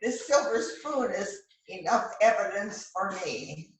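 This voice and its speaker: A woman playing a bossy, showy police detective in an amateur readers theater rehearsal, speaking in a deliberate, emphatic way. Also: medium pitch, animated. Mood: dramatic. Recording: roomy, distant mic with faint noise.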